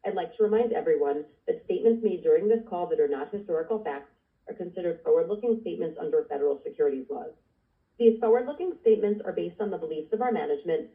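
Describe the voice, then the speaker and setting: A woman executive reading formal, scripted corporate remarks in a measured way on a conference call. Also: on the low side. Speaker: investor relations executive. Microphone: telephone conference line. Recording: distant, tinny phone line.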